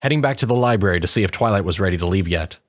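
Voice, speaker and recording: A man's voice: deep voice, lively intonation, rapid-fire. A man reading scripted story narration as the narrator of an audio tale. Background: background music.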